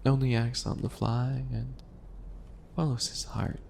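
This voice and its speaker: A man playing a calculating, obsessive potion-maker in an ASMR roleplay, with a deliberate, mocking, theatrical delivery. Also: medium pitch, little pitch movement, gravelly, a little mellow, a little hiss or hum. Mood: scornful.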